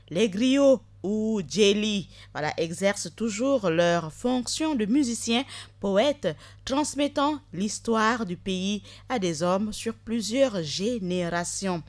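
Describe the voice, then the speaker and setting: A woman hosting a live radio show from a studio microphone. Her voice is dry and close, with no room sound around it. She talks straight to listeners and drops into quick asides. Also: on the high side, dry recording. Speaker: radio host.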